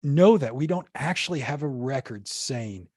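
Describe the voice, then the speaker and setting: Man, podcast guest, casual storyteller, lots of fillers, mid-pitched, dry close sound. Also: lively intonation, normal speed, a little mellow, no echo. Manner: rambling, many restarts and fillers.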